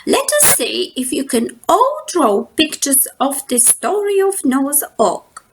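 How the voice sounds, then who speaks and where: A woman's voice: high-pitched, very animated, slow pace, background noise, pitched above the performer's own voice, full character voice. A woman voicing an elderly female bear who teaches Sunday school, kindly but firm, in a playful singsong storybook manner. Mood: bright, instructive. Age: older. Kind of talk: children's storybook character voice.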